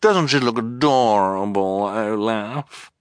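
A man voicing a vain, cruel, stylish villainous woman in an audiobook, theatrical and gushing, with drawn-out exclamations. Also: medium pitch, very animated, a bit bright, no echo, clean studio sound, pitched above the performer's own voice. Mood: purring, doting. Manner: purring, shrieking, drawn-out exclamations.

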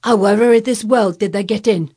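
A woman voicing a blunt, gruff old gardener man with a hoarse, shaky voice and broad Yorkshire dialect in a storybook reading. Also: medium pitch, lively intonation, a little slow, a little mellow. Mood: indignant. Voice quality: hoarse, quavering.

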